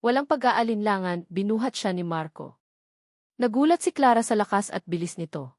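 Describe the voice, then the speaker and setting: A woman narrates a dramatic story in a scripted storytelling style. Her pitch sits in the middle range for a woman. The sound is dry, with almost no room in it. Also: expressive, moderate pace, a little mellow, dry recording.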